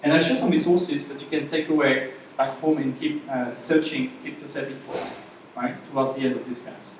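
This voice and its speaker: A man's voice: mid-range voice, fairly even intonation, moderate pace, a little hiss or hum. French-accented man teaching in an echoey room. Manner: casual, chatty, checks in with audience. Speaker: workshop instructor. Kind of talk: live class presentation. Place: room with audience. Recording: echoey room, voice from far off mic.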